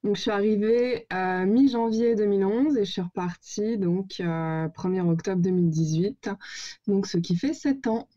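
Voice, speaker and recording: Relaxed woman in her thirties, lo-fi. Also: medium pitch, moderate pace. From Paris, France. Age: 30s. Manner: relaxed, many "euh" and "bah" fillers, searches for words. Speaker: guest. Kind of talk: casual interview storytelling. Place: home over a call.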